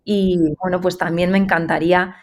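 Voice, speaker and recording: A woman's voice: lowish voice, little pitch movement, normal speed. A woman hosting a podcast interview, speaking in a thoughtful way with many fillers. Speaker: host, hospital pharmacist. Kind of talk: interview conversation.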